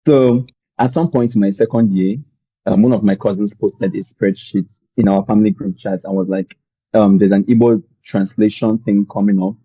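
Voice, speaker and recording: A young man from Nigeria with a Nigerian accent, telling his story as a podcast guest in a relaxed, rambling way with many fillers. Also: on the low side, moderate pace, slightly rough, no echo, phone-quality sound. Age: young adult. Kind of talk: conversational storytelling.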